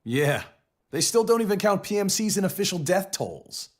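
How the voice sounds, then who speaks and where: A wry military comrade from a video game. His husky voice swings up and down freely. The delivery is casual and full of dry wisecracks. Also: high-pitched, sing-song, normal speed. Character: laid-back, wry male military comrade. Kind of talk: video game cutscene acting.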